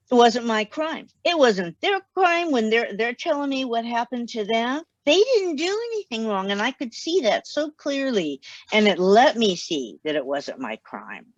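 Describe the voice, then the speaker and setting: An older woman talks gently and openly on a video call from her home study. Her audio sounds dull and muffled, with a very dry, close sound and no room echo. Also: fairly high pitch. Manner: gentle, plain and open about hard memories. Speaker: guest author. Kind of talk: interview over video call. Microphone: video call, headset.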